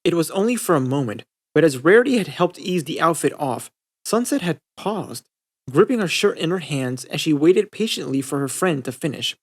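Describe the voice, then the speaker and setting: Man reading a story, higher-pitched voice. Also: sing-song, normal speed. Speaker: audiobook narrator. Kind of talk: scripted fan-fiction narration.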